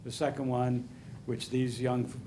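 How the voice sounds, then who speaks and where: A man's voice: medium pitch, monotone, moderate pace, mellow, poor audio. A man presenting a home repair program to a city council, with a faint noise under his voice. He is earnest and rambling, and he stops often to correct himself. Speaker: nonprofit program director. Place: council chamber.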